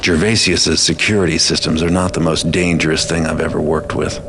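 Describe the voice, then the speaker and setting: An actor playing a cynical, weary master thief in a video game, dry, guarded and understated, with a gravelly voice. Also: male voice, extremely low pitch, little pitch movement, a little fast, noisy background. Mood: dry, sardonic. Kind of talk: video game cutscene acting.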